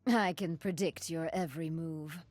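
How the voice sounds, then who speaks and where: A woman's voice: deep voice, steady tone, normal speed, a little mellow, soft background music. A woman playing a venomous serpent villainess in a video game, seductive, haughty and sadistic, with a silky, taunting delivery. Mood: seductive menace. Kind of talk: video game champion voice lines.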